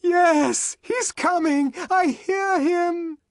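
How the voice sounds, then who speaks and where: A man voicing frenzied women worshippers in religious ecstasy for an audiobook, crying out shrilly with drawn-out words. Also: very high-pitched, no room sound, studio quality, pitched above the performer's own voice, heavily acted. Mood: fervent, overwhelmed. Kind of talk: dramatic audiobook reading.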